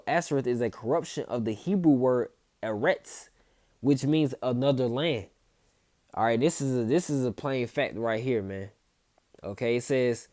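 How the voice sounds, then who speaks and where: Man, loose repetitive preaching, warm low timbre, dead-dry recording. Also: no echo. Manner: casual, repeats himself, tags lines with "man" and "okay". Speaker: street preacher. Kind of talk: informal scripture teaching.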